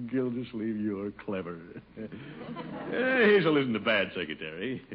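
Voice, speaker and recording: A blustery, swooping pompous boss. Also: male voice, medium pitch, big pitch swings, slow pace, crowd noise. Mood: smug. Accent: American. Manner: blustery, theatrical, muttering to himself. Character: pompous, self-satisfied, exasperated male boss and water commissioner. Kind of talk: 1950s radio situation comedy acting. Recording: muffled, noisy 1950 radio recording.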